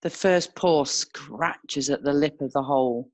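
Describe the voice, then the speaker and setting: Woman, narrator, low pitch, scripted screenplay narration, reading scene directions. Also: unhurried, darkish tone.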